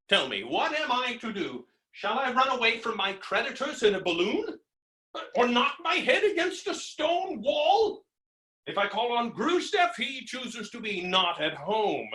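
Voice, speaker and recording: A man's voice: sits high, sing-song, measured pace, bright, forceful tone, full character voice. A man playing a blustering, hot-tempered creditor in a theatrical stage comedy reading, ranting with exasperated, melodramatic exclamations. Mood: exasperated griping. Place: indoor room, recorded remotely. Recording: roomy, echoing virtual staged-reading recording.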